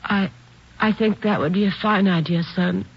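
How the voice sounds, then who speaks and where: An American actress playing a gentle, apologetic mother in a 1940s radio drama, soft and hesitant. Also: mid-range voice, normal speed, slight background noise, close to an everyday voice. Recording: muffled vintage radio recording.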